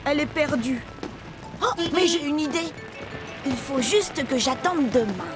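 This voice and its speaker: A scheming, grumbling female character from a children's cartoon. Her high voice has a dramatic delivery, with music behind it. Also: expressive, measured pace. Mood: frustrated, scheming. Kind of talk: French cartoon dub acting.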